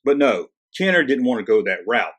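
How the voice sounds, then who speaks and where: A man's voice: mid-range voice, big pitch swings, fairly quick, a bit bright. A man hosting a toy-collecting video channel, narrating casually with wisecracking, sarcastic asides in a conversational way. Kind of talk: jokey, casual scripted narration.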